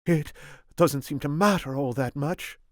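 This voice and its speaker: Captive heroine: man playing a sharp-tongued young woman, raised voice, storybook reading. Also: on the high side, measured pace, no echo, studio quality, voice pushed up, natural voice. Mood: resigned. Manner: tart, mocking.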